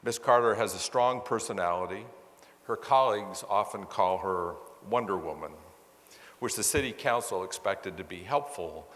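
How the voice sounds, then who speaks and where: A man's voice: lowish voice, normal speed, a bit bright. A man explaining case scenarios to an audience as a presenter, in a conversational way, often rephrasing himself. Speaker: presenter at a conference. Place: large meeting hall with sound system. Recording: long reverb of a large hall.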